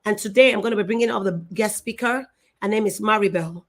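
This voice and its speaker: Middle-aged lady hosting a live web show, gushing and emotional, repeats stuff a lot, mid pitch. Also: fast talker. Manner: effusive and emotional, with repetition and restarts. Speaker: show host.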